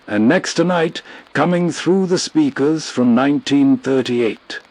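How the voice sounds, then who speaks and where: A man hosting with atmospheric scripted narration, delivered deliberately. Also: fairly high pitch, a little mellow. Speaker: host and narrator.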